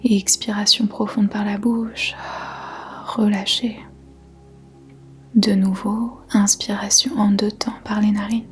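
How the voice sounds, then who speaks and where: A woman guiding a yoga nidra meditation in a soft, breathy, whispery voice, calmly and in measured short phrases with gentle pauses. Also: mid-range voice. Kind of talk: guided meditation, slow soothing instruction. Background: faint music.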